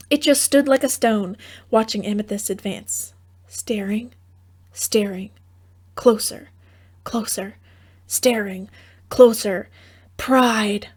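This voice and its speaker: Casting: female audiobook narrator for comic fairy tale, higher-pitched voice, droll and theatrical. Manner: theatrical, droll storytelling with wry asides.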